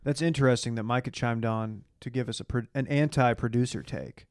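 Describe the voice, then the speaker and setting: A man's voice: mid-range voice, steady tone, a little fast, darkish tone, clear, resonant tone, no echo. Male co-host, medium register, dry studio sound, teasing and curious in loose banter. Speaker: podcast co-host. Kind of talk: casual banter.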